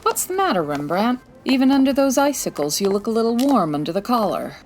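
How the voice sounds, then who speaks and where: A woman's voice: fairly high pitch, sing-song, brisk, darkish tone. A woman playing a confident, wry 1940s private detective in a radio-style comedy drama, breezy and teasing. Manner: breezy, teasing, self-assured.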